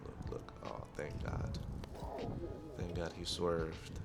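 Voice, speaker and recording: A man with a deep voice narrating his own vlog in a laid-back, rambling voiceover. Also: flat delivery, slow, heavy background noise. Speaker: vlogger. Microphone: clip-on wireless mic.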